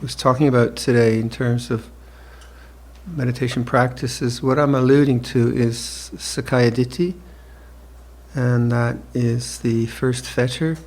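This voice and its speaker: A monk in his seventies gives an evening dharma talk, speaking slowly and reflectively with gentle restarts and soft laughter. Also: medium pitch, steady tone. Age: 70s. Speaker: monk teacher. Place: retreat hall with listeners.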